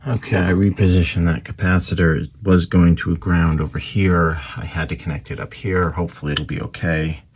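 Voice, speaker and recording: A man's voice: low voice, level tone, brisk, few pauses, husky. A man giving casual, unscripted commentary as he does a repair, half talking to himself as he works. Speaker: antique radio repairer, video host.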